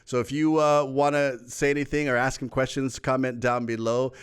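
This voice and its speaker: A man's voice: medium pitch, little pitch movement, smooth. A man hosting an interview show, chatting casually and reacting eagerly to his guest. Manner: eager, reacting with quick "wow" and "really". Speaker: show host.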